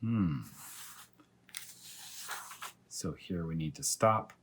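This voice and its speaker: A man reading a children's story aloud as a teacher of young pupils, in animated narration. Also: sits low, very slow, a little hiss or hum.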